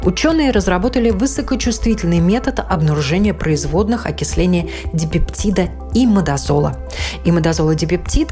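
Low-pitched woman presenting a health radio feature. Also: a little mellow. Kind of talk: scripted informational narration.

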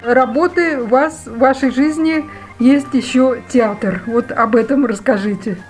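Brisk higher-voiced woman radio host. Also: soft background music, rough recording. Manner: brisk, direct questioning. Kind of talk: radio interview.

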